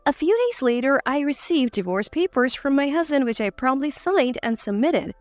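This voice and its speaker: A woman's voice: sits high, lively intonation, normal speed, dry recording, faint music behind. A woman reading a scripted first-person dramatic story as narrator.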